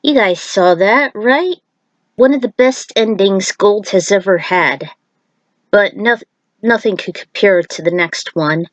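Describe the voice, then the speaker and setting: A woman narrating a scripted fan fiction story in a deliberate read-aloud manner. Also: mid-range voice, no echo.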